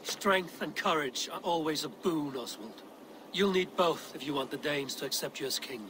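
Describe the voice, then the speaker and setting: An actor playing a bold, blunt Viking warrior who goads and mentors with wry command in a video game cutscene. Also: male voice, a little high, moderate pace, background noise, natural voice. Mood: stern, wry.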